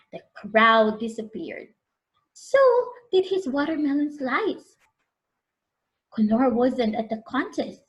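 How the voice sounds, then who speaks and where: A woman's voice: high-pitched, a bit bright, poor audio. A woman reading a picture book to young children as a preschool storyteller, expressively, prompting them with questions.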